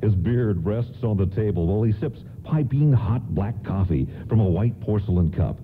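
A man's voice: fairly low pitch, expressive, normal speed, lightly acted. A man playing an earnest radio narrator and announcer, reading a scripted old-time radio play. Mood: storytelling. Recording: tinny radio broadcast, faint noise.

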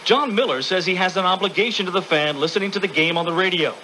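A man's voice: high-pitched, fast, pushed and bright, low-quality recording. An American man narrating a scripted radio news feature. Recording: news radio recording with faint noise.

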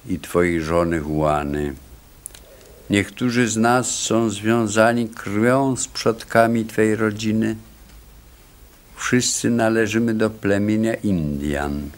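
Slow, low-voiced solemn village elder. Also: male voice. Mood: solemn, commanding. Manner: measured, grave, declamatory. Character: solemn wise male elder leading a village chorus. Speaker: actor in a radio play. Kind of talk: radio drama acting, solemn storytelling.

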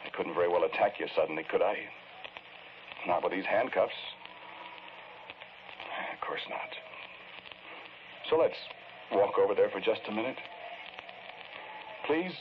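A softly haunted murderer in an old radio play. His voice is low, courteous and hesitant, pleading in halting phrases. The muffled vintage recording is clearly noisy. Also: big pitch swings. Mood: quietly pleading. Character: confessed murderer, polite, pleading, softly haunted man in handcuffs. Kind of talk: 1940s radio drama acting.

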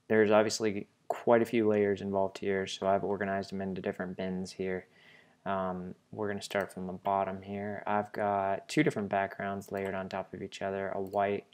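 A man presenting a software tutorial in a casual, explanatory way, informally thinking aloud as he goes. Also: sits low.

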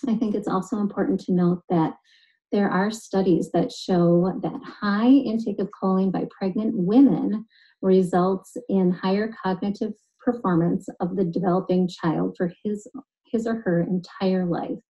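Woman nutritionist walking through the science, really soft dark mellow voice, calm and clear. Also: medium pitch, a little slow, highs cut off. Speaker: nutritionist and genetic specialist. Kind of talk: scripted educational explainer.

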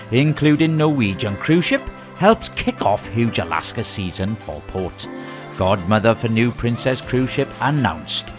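A man presenting a scripted news roundup in a lively, sing-song delivery with rising greetings. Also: lowish voice.

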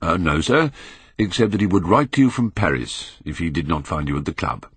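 A man's voice: low voice, big pitch swings, fairly quick, slightly rough, no echo, heavily acted. A man voicing a drowsy, deferential valet. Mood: drowsy, dutiful. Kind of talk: audiobook character reading.

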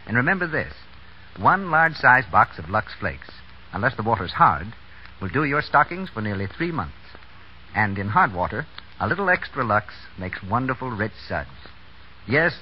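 An American man working as a 1930s radio announcer, reading a scripted sponsor message. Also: mid-range voice. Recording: dull, noisy 1930s radio recording.

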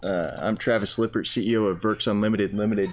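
A man's voice: fairly low pitch, steady tone, brisk. A man in his forties hosting a casual studio talk show, joking and wry with his guests. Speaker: host and company CEO. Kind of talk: casual chat. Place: small studio with green-screen set.

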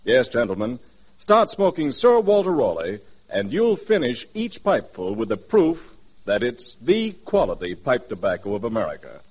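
A man reading a scripted 1940s radio commercial as announcer, with emphatic, measured salesman phrasing. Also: mid-range voice, huge pitch swings, a little mellow, breathy edge, dull audio.

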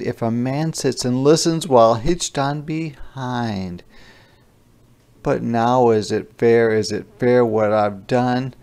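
A man reading a children's storybook aloud expressively and deliberately, with dramatic emphasis and drawn-out line endings. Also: mid-range voice, gravelly, a little mellow. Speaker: storybook reader.

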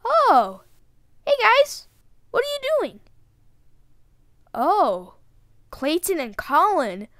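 Woman acting very high-pitched, exclamatory kid adventurer. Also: wildly swooping pitch, drawn-out words, long pauses, a bit bright, dry recording, clean studio sound, full character voice. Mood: puzzled, curious. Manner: exclamatory, wide-eyed. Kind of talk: video game voice lines.

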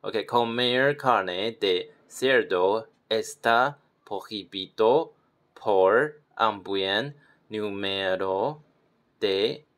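A man from Taiwan, practicing pronunciation slowly and repeating words as he corrects himself. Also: fairly low pitch. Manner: slow, repeats words, self-correcting with soft laughs. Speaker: language learner. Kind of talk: self-recorded pronunciation practice.

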